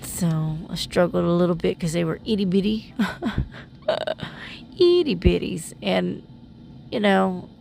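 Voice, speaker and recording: A woman's voice: fairly low pitch, gravelly, darkish tone. A woman vlogger narrating her beading in a casual voiceover, with a rambling, self-deprecating way of talking and a stuffy, sniffly nose.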